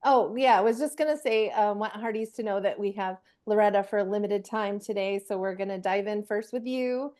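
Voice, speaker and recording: A woman's voice: mid-range voice, fairly quick, hardly any pauses, a little mellow. A woman hosting a podcast, welcoming and questioning guests in a casual, chatty style. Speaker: podcast host. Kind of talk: casual interview chat.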